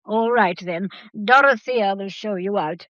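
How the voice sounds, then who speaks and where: Actress doing a bossy posh-mannered english granny, really old, sharp tongue, radio drama, dull close sound. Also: female voice, mid-range voice, a little slow, dry recording, close to an everyday voice. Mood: indignant, commanding. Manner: brusque, haughty, old-fashioned. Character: imperious, sharp-tongued grandmother of nearly ninety. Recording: dull, muffled radio play recording.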